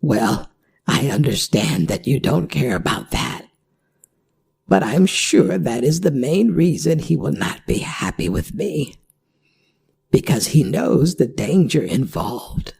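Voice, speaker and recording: A performer voicing a worried, gentle older werewolf mother in an ASMR audio drama, speaking in halting, pleading phrases. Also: mid-range voice, big pitch swings, vocal fry, husky, full character voice. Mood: grave warning.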